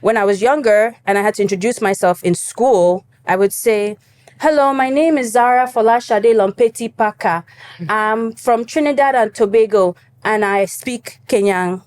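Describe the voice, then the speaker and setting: A young adult woman from Cameroon, a guest in an interview, telling her story in a lively casual way and acting out her younger self. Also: on the high side, normal speed, no echo. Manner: animated storytelling, acts out her younger self, laughs easily.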